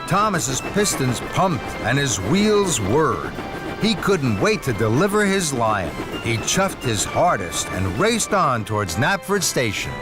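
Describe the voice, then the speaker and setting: A man narrating a scripted children's story in an expressive storytelling manner. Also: mid-range voice, slow, music in the background.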